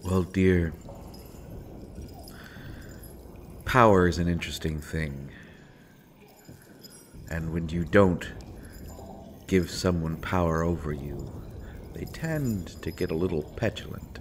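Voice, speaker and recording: A man playing a sardonic imprisoned assassin in a roleplay audio drama, speaking deliberately with wry mock courtesy and pointed pauses. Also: low voice, slight background noise. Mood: wry, composed. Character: sardonic imprisoned male assassin, principled, coolly amused. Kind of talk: scripted roleplay audio drama, addressed to listener.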